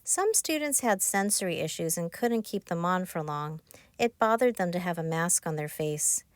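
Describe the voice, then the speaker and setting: Woman reading a children's storybook, lowish voice. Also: expressive, normal speed, no room sound, clean studio sound. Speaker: author narrating her own children's book. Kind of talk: scripted children's storybook narration.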